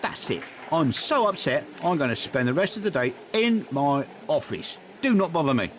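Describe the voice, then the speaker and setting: An actor plays a bossy, self-important male ward manager in an audio drama. He sounds theatrical and mock-offended, with a somewhat high, lively voice. A faint hiss of noise sits behind him. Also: moderate pace. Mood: petulant.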